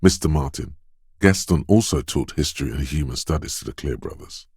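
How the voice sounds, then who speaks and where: A man voicing a detective's quick-witted inner voice in a video game, narrating in a measured, deliberate way with short, wry, alert asides. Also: extremely low pitch, expressive, a little mellow, breathy edge, dry recording, studio quality, exaggerated voice. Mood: measured, ominous. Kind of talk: scripted game narration, second-person inner voice.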